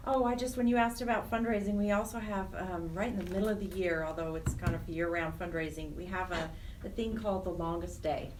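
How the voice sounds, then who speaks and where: A woman's voice: lowish voice, steady tone, a little fast, noisy background. Woman interviewee, earnest, slightly distant mic. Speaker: guest, Alzheimer's charity program director. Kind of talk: radio interview, explanatory talk.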